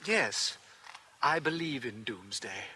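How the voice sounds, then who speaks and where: An actor playing a mild, bookish priest, gentle and hesitant in a radio drama. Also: male voice, mid-range voice, animated, takes it slowly, slight background noise, exaggerated voice. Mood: solemn. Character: mild, bookish, thoughtful English priest sleuth.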